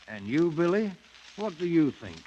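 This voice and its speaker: High-pitched, blustering British soldier-king. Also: big pitch swings, unhurried, dark tone, lo-fi sound. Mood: wary, questioning. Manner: blustering, slangy, commanding. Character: brash, boastful British ex-soldier adventurer crowned as a king. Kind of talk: 1950s radio drama acting. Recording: noisy 1950s radio broadcast recording.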